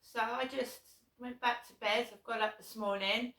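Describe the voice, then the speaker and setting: A hoarse woman with a sore throat, telling a rambling personal story to her vlog audience, repeating herself and swearing freely. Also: a little high, fairly even intonation, normal speed, sharp tone. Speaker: vlogger. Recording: echoey room, voice from a distance.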